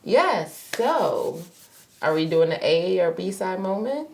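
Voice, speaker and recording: A woman in her thirties hosts a marriage podcast in easy, upbeat chat. Her voice sits low and carries a slight rasp today, and she laughs easily between points. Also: sing-song, slow, a little mellow. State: slightly raspy voice from venting. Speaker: podcast host, marriage therapist associate. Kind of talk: casual conversational podcast chat.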